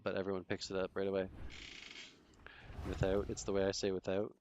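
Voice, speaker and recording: A laid-back streamer with a Toronto Canadian accent, talking in a deep, low voice. He rambles casually between moments of play, and his sentences often drift off unfinished. Faint mouse clicks tick along behind him. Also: completely flat delivery, fairly quick, darkish tone, slight background noise. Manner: laid-back, chatty, trails off mid-sentence. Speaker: game streamer. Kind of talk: casual chat while gaming, reading chat.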